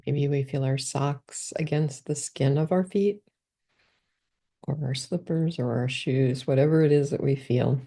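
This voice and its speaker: A woman leading a guided body scan meditation, giving calm, gentle step-by-step instructions. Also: extremely low pitch, measured pace.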